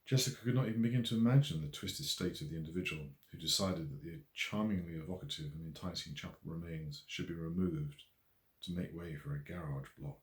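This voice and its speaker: A man reading a novel aloud as its author, in measured, expressive literary narration. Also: sits low. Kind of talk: scripted audiobook narration. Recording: roomy echo.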